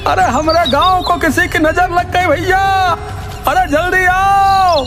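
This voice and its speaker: A man's voice: very high-pitched, fairly even intonation, takes it slowly, full character voice. A man voicing a frightened old village man who calls out in panic in a cartoon. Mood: panicked. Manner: panicked calling for help. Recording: music and crowd behind.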